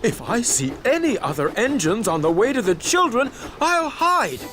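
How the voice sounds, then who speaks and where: A man's voice: very high-pitched, sing-song, moderate pace, music in the background. A man voicing a vain, anxious steam engine who is easily embarrassed, in a children's cartoon, proud and fretful in manner. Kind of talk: children's cartoon character acting.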